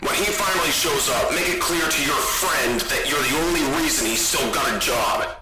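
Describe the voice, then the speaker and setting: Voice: mid-range voice, moderate pace, lightly acted. An actor playing a stern, self-important male spaceship commander with clipped authority, in a sci-fi comedy audio drama. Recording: distant, heavy echo, loud music.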